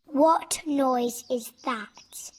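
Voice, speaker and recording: A woman's voice: high voice, very slow. A woman playing a cheerful, trusting little girl in a children's fairy tale, speaking in a friendly, simple way to young listeners. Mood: cheerful teaching. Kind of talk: children's storybook animation. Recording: music behind the voice.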